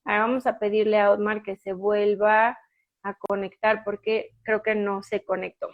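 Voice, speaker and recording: A woman's voice: mid-range voice, level tone, normal speed, dull audio. A woman with a Mexican accent hosting a casual live stream, chatty and full of fillers and restarts as she waits for her guest. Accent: Mexican Spanish.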